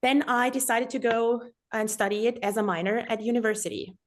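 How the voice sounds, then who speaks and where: A woman's voice: on the high side, fairly even intonation, a little fast. A woman from Germany with a German accent, telling her own story casually as a podcast guest. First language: German and Transylvanian Saxon dialect. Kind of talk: casual personal storytelling interview.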